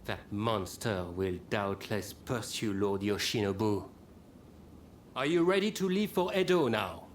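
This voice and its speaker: An actor playing a serious, watchful warrior in a samurai video game cutscene. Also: male voice, lowish voice, expressive, unhurried, a little hiss or hum. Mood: grave.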